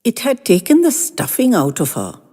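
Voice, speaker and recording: A woman narrating a first-person story in a gentle, expressive reading with lingering phrases. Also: medium pitch, normal speed, breathy edge, in a large hall.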